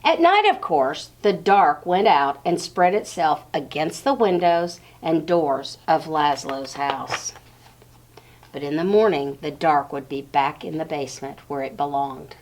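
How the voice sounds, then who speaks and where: A woman with a slightly low voice reads a picture book aloud for young children. She breaks the story into short phrases with pauses and addresses her listeners directly. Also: animated, rough recording.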